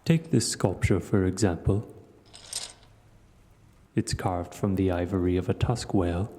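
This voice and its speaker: Male actor as ancient dragon, very low voice, long cave-like reverb, slow measured delivery, wise and gentle, audio roleplay. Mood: thoughtful, explaining. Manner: slow, measured, reflective storytelling. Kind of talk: audio roleplay acting, addressing the listener.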